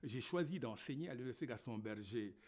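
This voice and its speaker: Lively, halting man speaking. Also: mid-range voice. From Senegal. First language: Wolof. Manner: halting, many fillers and repeated words. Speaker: novelist and university lecturer. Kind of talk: reflective panel talk.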